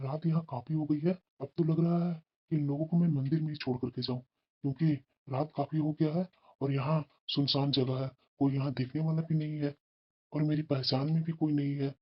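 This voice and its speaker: A woman's voice: low-pitched, fairly even intonation, normal speed, breathy edge. A woman voicing an irritable, scheming father in a family moral cartoon, grumbling and evasive. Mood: furtive, scheming. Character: scheming, irritable father who resents his daughters. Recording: dull, lo-fi cartoon voice track.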